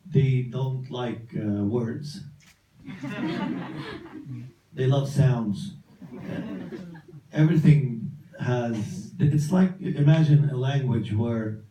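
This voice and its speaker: A man's voice: medium pitch, warm tone, a little hiss or hum. A man being interviewed after a film screening, hesitant at first and then joking, recorded from afar in a cinema. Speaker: actor guest. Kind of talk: post-screening Q&A answer. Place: cinema auditorium. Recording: echoey hall, distant, faint ambience.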